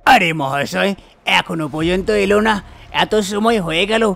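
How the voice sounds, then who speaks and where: A man's voice: sits high, normal speed, creaky, a bit bright. A man voicing a fussy priest and astrologer in a cartoon story, making grave pronouncements and explaining things with repeated exclamations. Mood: fretful. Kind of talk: Bengali cartoon story dialogue.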